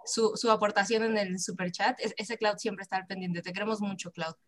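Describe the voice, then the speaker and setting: A woman's voice: mid-range voice, steady tone, fairly quick, slight background noise. A Mexican woman co-hosting a live stream show, chatting casually and reading out fan messages with frequent laughter. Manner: laughing, playful. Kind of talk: casual chat, reading superchats.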